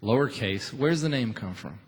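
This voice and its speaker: A man's voice: mid-range voice, animated, normal speed, breathy edge. A man hosting a live radio show on a theater stage, interviewing musicians in a chuckling, repetitive way. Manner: chuckles as he talks, repeats phrases. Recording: live theater, audience noise behind. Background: audience noise.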